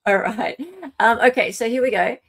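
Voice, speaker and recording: A woman's voice: a little high, normal speed, gravelly. A woman hosting a live stream in a casual, chatty style. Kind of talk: casual panel chat.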